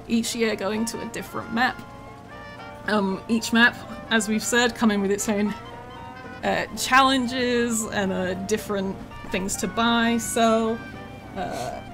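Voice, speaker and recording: A woman's voice: mid-range voice, poor audio. A woman who develops games, chatting casually on a live stream with a slightly worn voice and lots of ums. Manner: chatty, with many ums and laughs. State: slightly losing her voice. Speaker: game developer streaming gameplay. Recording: music playing behind.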